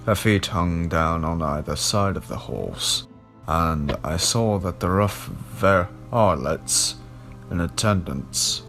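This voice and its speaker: Deep, slow-paced man narrating gothic horror. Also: a bit bright. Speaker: horror story narrator and host.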